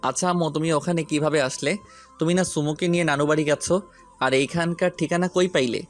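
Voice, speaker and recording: A man's voice: fairly high pitch, fairly even intonation, a little fast, natural voice. An actor playing a hot-headed, protective young man in an audio story, insistent and defiant. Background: background music.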